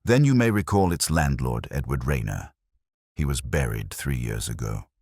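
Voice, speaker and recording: A man voicing a precise, cool, watchful male detective in an audiobook story, speaking in a measured, analytical way. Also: a bass voice, animated, a little mellow, breathy edge, no room sound, clean studio sound, pitched below the performer's own voice, exaggerated voice. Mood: quietly grave.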